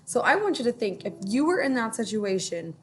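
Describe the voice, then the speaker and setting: A woman teacher reading a picture book aloud to children, gently stopping to ask them questions. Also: fairly high pitch, very animated, a little hiss or hum. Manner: gentle, pauses to ask young listeners questions.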